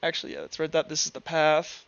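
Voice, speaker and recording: A man improvising narration as game master of a tabletop roleplay session, halting and thinking aloud as he goes. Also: fairly high pitch, flat delivery, vocal fry, a bit bright, lo-fi sound.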